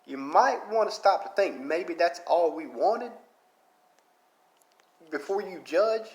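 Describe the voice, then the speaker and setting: A man's voice: high voice, expressive, takes it slowly, echoey room. A man with a strong Southern Alabama accent, talking in a casual rambling monologue, full of "you know" fillers and getting fired up. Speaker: mobile game player.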